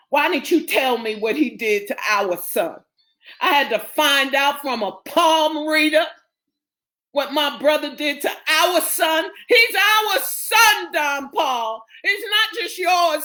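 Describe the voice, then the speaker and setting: Vengeful, wounded mother for dramatic storytelling, voiced by a woman, slow and accusing. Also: sits high, animated, pushed and bright, pitched above the performer's own voice, heavily acted. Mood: anguished, accusing. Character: anguished vengeful mother confronting her treacherous brother.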